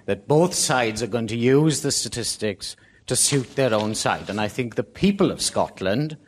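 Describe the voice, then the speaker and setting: A man's voice: mid-range voice, animated, normal speed, a little hiss or hum. A Welshman in a debate audience, speaking emphatically with rhetorical repetition. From Wales. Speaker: audience member. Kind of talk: impassioned debate comment.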